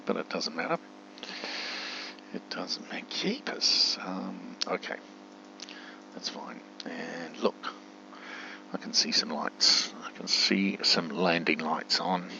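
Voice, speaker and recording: A man with a deep voice that has a bright edge chats his way through a simulator game. He thinks aloud in a casual way and slips into spoken radio commands. The recording is dull, with faint hum behind him. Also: creaky. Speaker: gamer narrating a simulator playthrough.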